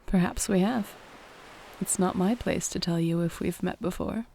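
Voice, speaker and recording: Soft soothing mermaid done by a woman, bit of fry in the voice, bedtime roleplay, faint ocean sound behind. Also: on the low side, a little hiss or hum, low-quality recording. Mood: soothing, serene. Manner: soft-spoken, unhurried, soothing, slightly formal wording. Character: gentle, serene female mermaid guarding a sea cove. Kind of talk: sleep-aid audio roleplay, soothing bedtime monologue.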